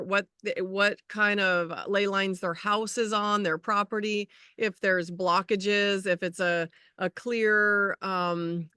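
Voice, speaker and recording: A middle-aged woman co-hosting a casual spiritual chat as an energy healer, talking in a rambling, halting way as she searches for words. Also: mid-range voice, a bit bright, clean tone, dry recording, studio quality.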